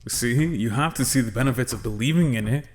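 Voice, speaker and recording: A man playing a calm young husband and ninja advisor in a fan-made anime audio drama, gentle and level-headed. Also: medium pitch, quick pace, lightly acted. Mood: thoughtful.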